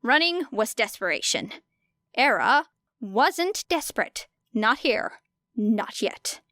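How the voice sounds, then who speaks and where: A woman's voice: a little high, big pitch swings, slow pace, bright, forceful tone, no echo, clean studio sound. A woman narrating a scripted fiction audiobook.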